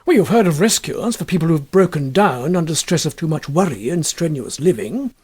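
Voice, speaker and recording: A man voicing a confident gentleman friend who gives droll advice in a matter-of-fact way in a storybook. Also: fairly high pitch, animated, brisk, slightly rough. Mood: droll, assured.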